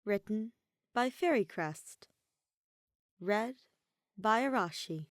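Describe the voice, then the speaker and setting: A woman reading a scripted story aloud as narrator, measured and phrase by phrase. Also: medium pitch, animated, no room sound, studio quality. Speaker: fan fiction narrator.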